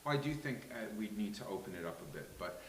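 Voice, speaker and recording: Low-voiced man, echoey hissy room. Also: expressive, quick pace. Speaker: panelist questioning the readers. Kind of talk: casual panel discussion. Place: live venue with a small studio audience.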